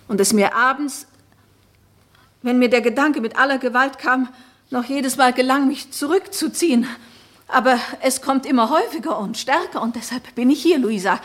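A woman's voice: on the high side, fairly bright tone. A woman acting a troubled, pleading married noblewoman in a German radio play, speaking in earnest, solemn, poetic phrases.

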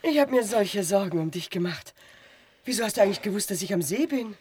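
A woman's voice: medium pitch, animated, brisk, darkish tone, slight background noise, natural voice. An actress in a German radio play, playing an anxious, doting mother of a grown son with a tender, fussing, hesitant manner. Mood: overjoyed, relieved. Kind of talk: German radio drama acting.

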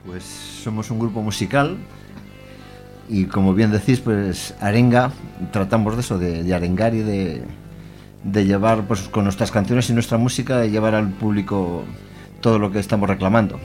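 A man with a fairly low voice talks as a musician guest on the radio. He is hesitant, feeling his way through unscripted phrases and repeating words. Faint music sits behind him in the studio. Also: fairly even intonation, moderate pace, a little mellow. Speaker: guest band member. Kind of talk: unscripted chat. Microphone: studio microphone.

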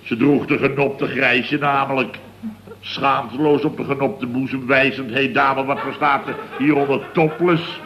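An actor playing a blustering, exasperated middle-aged contractor boss who rambles indignantly in a radio comedy. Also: male voice, mid-range voice, steady tone, a little slow, natural voice. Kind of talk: radio comedy serial acting. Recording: dull, noisy old radio recording.

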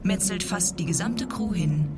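A woman's voice: fairly low pitch, normal speed, very noisy, close to an everyday voice. A woman doing scripted radio narration as a wry, dramatic storyteller of science-fiction disasters.

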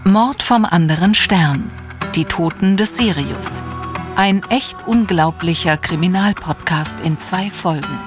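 A woman's voice: on the low side, a little slow. A woman making dramatic scripted title announcements for a crime podcast trailer. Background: music.

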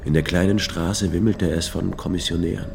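An actor playing a weary male writer with a gravelly voice, narrating his misadventures in a literary radio drama. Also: sits low, fairly even intonation, normal speed. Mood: somber. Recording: music behind the voice.

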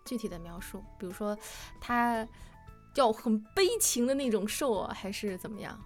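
A woman's voice: mid-range voice, normal speed, a little mellow, soft background music. A woman hosting a casual live interview, putting questions to her guests. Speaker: livestream host interviewing voice actors.

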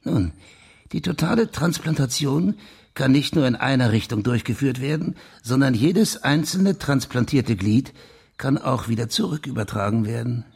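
Police official for crime radio drama: very husky, gravelly, mid-pitched, insistent explainer. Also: male voice, animated, normal speed, a little mellow. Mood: intent, explanatory. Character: police official laying out his grim theory of a crime.

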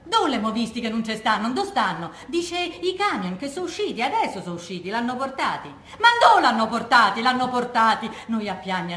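An actress playing an anguished working-class Roman widow in a theatrical monologue, colloquial and acting out others' words in a Roman accent. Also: female voice, a little high, fairly bright tone. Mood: agitated, exasperated. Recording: roomy echo, faint background noise.

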